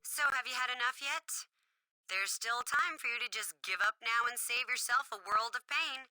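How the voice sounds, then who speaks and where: A woman's voice: on the high side, moderate pace, music in the background, natural voice. A woman playing a smug, menacing wrestling general manager in a video game, taunting with mock sympathy.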